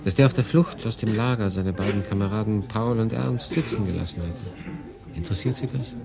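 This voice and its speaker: A man's voice: lowish voice, moderate pace, creaky. An actor playing a weary, reflective refugee who looks back and narrates his story in a brooding, confiding way in a radio play. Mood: wry, confiding. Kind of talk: dramatic first-person narration in a radio play. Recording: music behind the voice.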